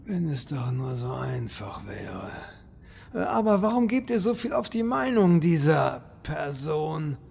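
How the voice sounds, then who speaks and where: Slow, creaky, servile minion. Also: male voice, a little high, full character voice. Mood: sly, deadpan. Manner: obsequious, deadpan, muttered asides. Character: servile, slow-spoken minion with sly dry wit. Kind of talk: German audio drama parody acting.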